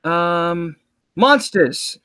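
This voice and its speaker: A man's voice: high voice, lively intonation, drawn-out words, bright, forceful tone, clean tone, no room sound, studio quality. A man in his late thirties hosting a casual livestream chat, joking and self-deprecating. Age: 30s. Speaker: livestream show host.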